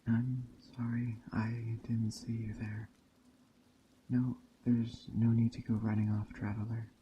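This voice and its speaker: A man playing a calm, hospitable witch of a woodland coven in a gentle, unhurried ASMR roleplay that drifts into soft whispers. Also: mid-range voice, highs cut off, noisy background, natural voice. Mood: welcoming, calm. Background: rain and forest ambience.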